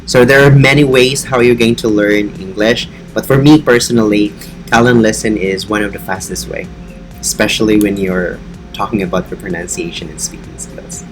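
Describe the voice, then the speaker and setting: A man's voice: fairly low pitch, steady tone, moderate pace, over music, low-quality recording. A man with a Filipino accent, an online English teacher talking earnestly and encouragingly about his lessons. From Philippines. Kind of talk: casual teaching vlog.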